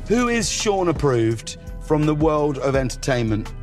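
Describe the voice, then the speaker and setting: A man conducts a casual interview in a moderately high voice. There is quiet music in the background. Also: sing-song, unhurried.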